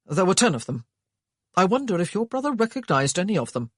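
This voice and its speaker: A narrator voicing an English gentleman who speaks calmly and reassuringly to strangers. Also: mid-range voice, a little fast, dry recording, clean studio sound, voice pushed up.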